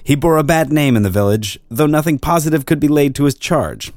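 A man narrating an old-fashioned drama in a formal, measured storytelling manner. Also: fairly high pitch, lively intonation, darkish tone, no room sound, clean studio sound. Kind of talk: scripted literary narration of a drama.

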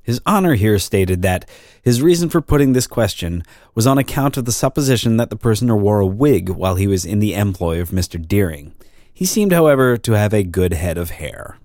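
A man's voice: on the low side, lively intonation, brisk, a little mellow, no room sound, studio quality, close to an everyday voice. A man playing a court recorder, narrating notes on the proceedings in a formal, matter-of-fact way. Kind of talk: scripted narration in a dramatic reading.

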